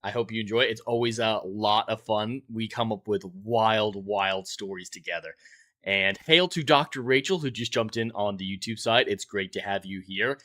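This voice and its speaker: A young man with a fairly low voice that carries a bright, forceful edge. He hosts a livestream, talking straight to his viewers with an earnest, welcoming delivery that leans toward an announcer's. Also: normal speed. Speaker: livestream podcast host. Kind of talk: livestream monologue, chatting with viewers.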